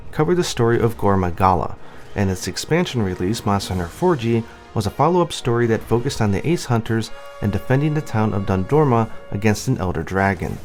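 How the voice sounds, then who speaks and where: A man's voice: lowish voice, darkish tone. A man giving scripted, explanatory narration of a video game's story. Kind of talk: scripted explanatory narration over game cutscenes. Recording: music behind the voice. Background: game music.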